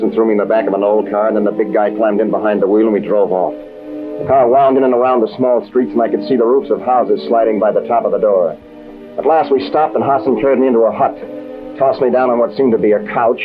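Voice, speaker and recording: An actor playing a tough American adventurer in an old radio drama, blunt and slangy, both in dialogue and in narration. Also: male voice, lowish voice, quick pace, over music, natural voice. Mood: tense narration. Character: tough wisecracking American adventurer hero, narrating his tale. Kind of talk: 1940s-50s radio adventure acting. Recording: tinny noisy old radio recording.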